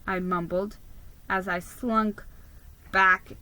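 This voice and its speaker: A woman's voice: medium pitch, steady tone, takes it slowly, bright, forceful tone, rough recording. A woman giving a dramatic scripted reading of a children's story as its narrator. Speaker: storybook reader.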